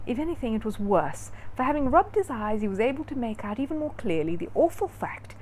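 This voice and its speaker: A woman storyteller narrating a children's book in an expressive read-aloud style. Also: a little high, brisk, a little hiss or hum.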